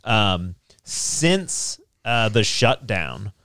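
A man's voice: lowish voice, very animated, extremely slow, creaky, pushed and bright, dry recording, studio quality. A man hosting a casual current-events panel chat, talking conversationally and hedging as he goes. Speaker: talk show host. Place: small studio.

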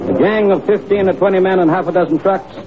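A man's voice: high voice, fast, lightly acted. A man playing a dutiful police inspector in an old radio drama, reporting in a precise, formal way. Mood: formal, grave. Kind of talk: 1950s radio drama acting. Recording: muffled old radio recording, background crowd.

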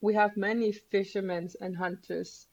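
A woman's voice: medium pitch, steady tone, normal speed, darkish tone, slightly rough. Greenlandic woman with a muffled lo-fi sound. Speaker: guest. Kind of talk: conversational interview.

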